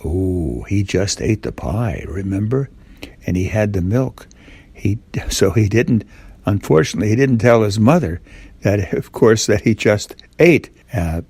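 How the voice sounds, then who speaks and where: A man's voice: on the low side, vocal fry, mellow, breathy edge, no echo. An older man tells a simple bedtime story informally, as if speaking to a young child. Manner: simple, repetitive, asks the child listener questions.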